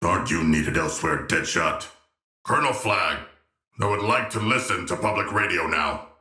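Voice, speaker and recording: A man's voice: low voice, lively intonation, normal speed, pushed and bright, roomy sound. An actor playing a giant shark-man in a video game, formal, earnest and brooding, with stilted, grandiose diction. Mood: lofty, brooding. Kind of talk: video game character voice lines.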